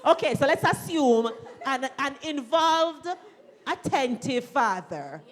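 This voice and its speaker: A woman's voice: high voice, expressive, takes it slowly. Playful woman moderating, hall echo. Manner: playful, chatty, teasing. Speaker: panel moderator. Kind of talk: live panel discussion. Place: event hall, live audience. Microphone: handheld mic. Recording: long hall reverb at live event.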